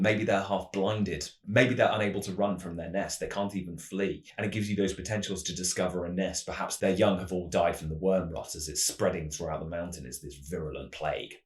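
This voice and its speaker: A man narrating a fantasy tabletop adventure tutorial as a game master, with measured, dramatic storytelling phrasing. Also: sits low, reverberant. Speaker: host, game master giving adventure advice.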